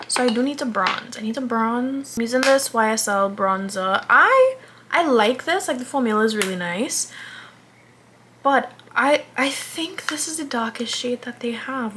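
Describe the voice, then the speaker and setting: A woman's voice: a little high, lively intonation, normal speed, fairly bright tone, slight background noise. A woman in her twenties chatting casually while doing her makeup, rambling and interrupting herself, sometimes dropping into a whisper. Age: 20s. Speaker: vlogger.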